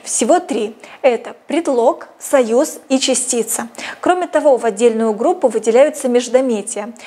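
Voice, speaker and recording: Young woman, lesson presenter, fairly high voice, measured teacherly delivery, scripted narration, lapel mic. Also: darkish tone. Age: young adult. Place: small indoor studio set, seated.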